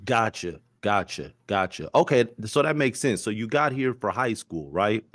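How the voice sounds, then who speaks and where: A young man hosting a casual podcast interview, laid-back and friendly, who keeps the guest going with quick affirmations. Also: fairly low pitch, expressive, fairly bright tone, no room sound, clean studio sound.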